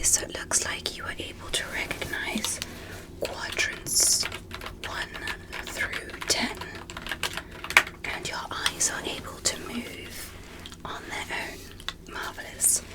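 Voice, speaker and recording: A woman whispering softly and breathily in an ASMR medical roleplay, speaking gently and deliberately in short measured phrases as a fascinated alien doctor. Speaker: ASMR performer.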